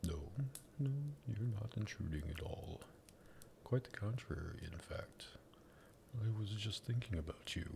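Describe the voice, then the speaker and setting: Man playing a deep, silky sadistic vampire master. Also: vocal fry, exaggerated voice. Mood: silky, amused. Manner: slow, teasing, menacing politeness. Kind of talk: ASMR roleplay acting.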